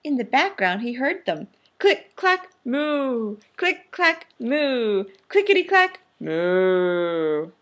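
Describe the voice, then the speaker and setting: A woman's voice: on the high side, sing-song, takes it slowly, hardly any pauses, fairly bright tone. A woman teacher reading a children's picture book aloud, expressively and with careful, instructive phrasing. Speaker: teacher demonstrating a read-aloud.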